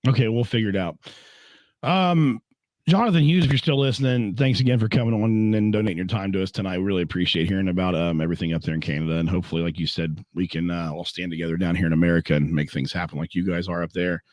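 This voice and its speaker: A man hosting a casual podcast chat, hoarse and clearing his throat often. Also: low voice, fast talker, darkish tone, no echo. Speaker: podcast host.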